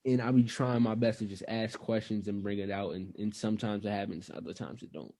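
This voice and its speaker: A man's voice: fairly low pitch, steady tone, brisk, mellow, no room sound. A young man hosting a casual podcast, asking questions and talking at length in a loose, drawling way. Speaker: podcast host.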